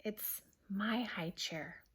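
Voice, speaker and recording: A woman voicing a sulky little boy in a storybook, complaining in a drawn-out, emphatic way. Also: medium pitch, a bit bright, slightly rough, exaggerated voice. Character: sulky little boy upset over his things.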